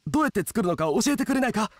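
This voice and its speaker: An actor playing a friendly young male inn cook in an anime game, with rough, casual, hearty speech. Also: high-pitched, sing-song, very fast, no echo, studio quality. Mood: confiding.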